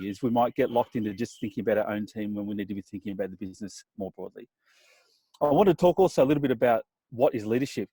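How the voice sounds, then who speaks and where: A man's voice: medium pitch, mellow, dry recording. An earnest man introduces a leadership webinar in a mid-range voice. The sound is dry and close, and he leans on fillers as he speaks. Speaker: business partner introducing the webinar.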